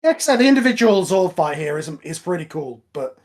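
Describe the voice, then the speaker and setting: A man's voice: high voice, sing-song, a little fast, a little mellow. A man giving casual, conversational movie commentary as part of a podcast.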